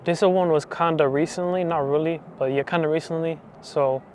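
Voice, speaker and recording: Young man, 20s, testimony speaker; soft, hesitant, monotone delivery over faint noise. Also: fairly high pitch, normal speed. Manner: soft, hesitant, full of restarts and fillers. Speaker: channel host giving testimony. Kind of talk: personal faith testimony.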